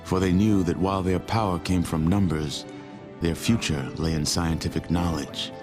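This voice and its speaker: An actor playing a grave narrator of post-nuclear history in a video game, solemn, measured and portentous. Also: male voice, low voice, fairly even intonation, over music, close to an everyday voice. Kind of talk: scripted cinematic game narration.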